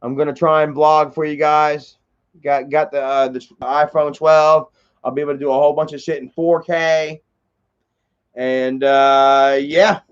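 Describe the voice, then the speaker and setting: High-voiced profane man, rambling story. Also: flat delivery, measured pace, clear, resonant tone. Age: 30s. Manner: profane, rambling, lots of fillers and repeats. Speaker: livestreamer. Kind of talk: casual rambling storytelling on a livestream. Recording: dull lo-fi stream audio.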